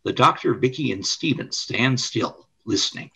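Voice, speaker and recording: Male radio play narrator. Forceful, bright tone, expressive range, dramatic storytelling delivery. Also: mid-range voice, normal speed, creaky, breathy edge, poor audio. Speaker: narrator and announcer.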